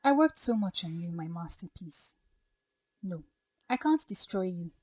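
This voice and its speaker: A woman playing a troubled young bride-to-be in an audio drama, speaking softly in a hushed, wistful way. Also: fairly low pitch, very animated, a little slow, no room sound, studio quality, full character voice. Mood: wistful, torn. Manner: soft, breathy, hushed delivery.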